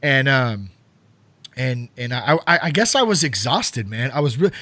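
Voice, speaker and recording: A man's voice: mid-range voice, very animated, measured pace, sharp tone, no room sound. A man in his thirties hosting a podcast, talking loosely and casually with lots of fillers and swearing. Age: 30s. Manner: rambling, loose, swears freely, many fillers. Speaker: podcast host.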